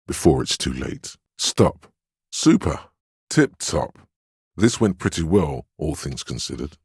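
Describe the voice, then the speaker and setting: Super deep smooth stern inner voice of willpower, video game narration, measured and commanding, close dry sound. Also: male voice, a little mellow, dry recording, full character voice. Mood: firm encouragement, then pleased. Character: inner voice of willpower, stern, steadfast, protective counsellor. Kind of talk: dramatic second-person game narration.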